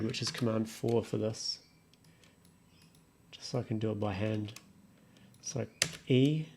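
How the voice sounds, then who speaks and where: A man's voice: mid-range voice, darkish tone. A man casually thinking aloud as he walks through music production steps on his computer, offhand and muttering to himself. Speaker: music production tutorial creator. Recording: clear background noise, keyboard and mouse clicks. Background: computer typing and clicking.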